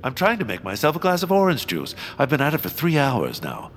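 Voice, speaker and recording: Pompous, animated villainous baron. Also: male voice, mid-range voice, slight background noise. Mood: exasperated. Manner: theatrical, pompous, halting. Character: pompous, bumbling, self-pitying male villain baron. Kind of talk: audio comedy drama acting.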